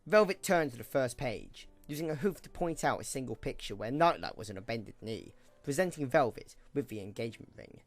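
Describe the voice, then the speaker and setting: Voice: medium pitch, expressive, fairly quick, faint music behind. A narrator reading scripted third-person story narration for an amateur audio drama.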